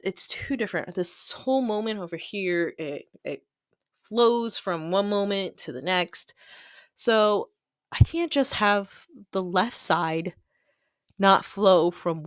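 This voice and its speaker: A woman's voice: medium pitch, no echo, clean studio sound. A woman chatting casually and digressively over a hobby craft video, self-deprecating and giggly, often voicing her own thoughts as she goes. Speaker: hobby planner video creator.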